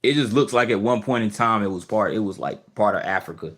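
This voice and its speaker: A man's voice: on the low side, fairly quick, a bit bright. A man hosting a casual livestream, thinking aloud with many restarts and fillers as he answers viewer comments. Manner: easygoing, questioning. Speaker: livestream host. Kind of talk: casual live chat, reading viewer comments.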